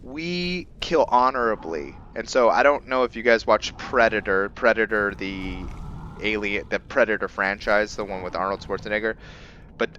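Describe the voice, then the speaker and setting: A man giving casual let's play commentary as he roleplays, rambling and halting as he thinks aloud. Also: lowish voice, bright, forceful tone, highs cut off, a little hiss or hum. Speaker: gaming video host, roleplayer.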